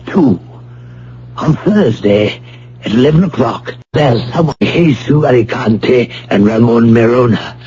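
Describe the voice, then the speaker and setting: An actor playing a courteous but ruthless criminal mastermind in a radio drama, speaking formally and deliberately with polite menace. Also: male voice, mid-range voice, expressive, warm tone, slight background noise, close to an everyday voice. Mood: smooth, controlling. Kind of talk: vintage radio drama acting. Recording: muffled, humming old radio broadcast recording.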